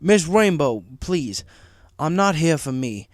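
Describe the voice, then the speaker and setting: A man's voice: fairly high pitch, big pitch swings, takes it slowly, no echo, studio quality, pitched above the performer's own voice. A man voicing a meek, anxious adult stallion pony, a shopkeeper and father in an audiobook story, hesitant and pleading.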